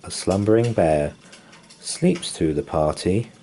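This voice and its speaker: A man reading a children's bedtime picture book aloud in a slow, sing-song storytelling manner. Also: low-pitched, big pitch swings, mellow, a little hiss or hum. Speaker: story reader.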